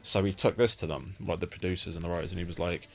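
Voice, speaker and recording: A man's voice: deep voice, steady tone, fast talker, vocal fry. A British man interviewing in a casual, informal and opinionated way, speaking with enthusiasm. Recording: lo-fi amateur setup with faint music.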